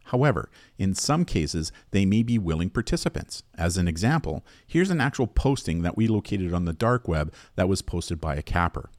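A man with a low voice presents scripted educational material as a podcast host. His delivery is measured and explanatory, with very lively intonation, and the recording is dry and close. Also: no room sound.

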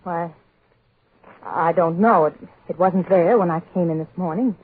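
An actress playing a prim, businesslike office secretary in 1940s radio drama style. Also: female voice, fairly low pitch, a little slow, breathy edge. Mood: bewildered, shocked. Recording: muffled tinny 1940s radio recording.

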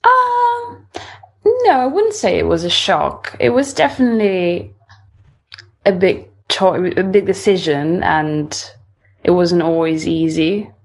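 A woman in her twenties with an Italian accent talks as an interview guest. Her voice is slightly low. She speaks casually and a bit hesitantly, repeating words as she finds her phrasing. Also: big pitch swings, slow. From northern Italy. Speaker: singer-songwriter being interviewed.

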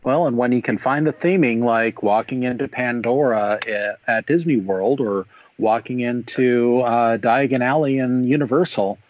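A man co-hosting a casual chat, putting questions to the guest in a measured way. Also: medium pitch, highs cut off.

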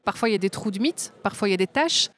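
A woman's voice: mid-range voice, expressive, racing. A woman, telling her story as a guest at a trade fair, enthusiastic and often hesitating. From Belgium. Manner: warm, enthusiastic, frequent hesitations. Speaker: designer and guest. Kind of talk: conversational interview.

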